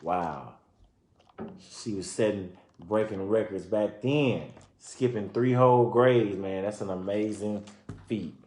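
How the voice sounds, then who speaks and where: A man reading a children's book aloud and pausing to explain and comment informally to his listeners. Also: on the low side, darkish tone, a little hiss or hum. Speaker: reader presenting a children's book. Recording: roomy, echoing sound.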